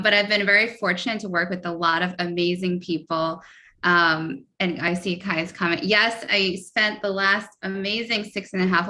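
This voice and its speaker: A woman on a discussion panel talks candidly and reflectively about her career. Her voice is lowish, and her tone is bright and forward.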